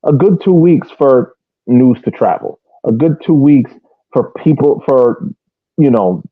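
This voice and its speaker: A man's voice: on the high side, no room sound. Man, tinny phone-like sound, dry, casual podcast co-host, repeats words mid-thought. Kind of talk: casual sports chat.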